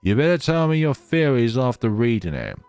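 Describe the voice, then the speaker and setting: A man acts out an earnest, awkward young traveler, the chatty hero of a visual novel. The voice is lively and self-deprecating, recorded dry over faint music. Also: mid-range voice, fairly quick, no room sound, voice pushed up. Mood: eager, awkward.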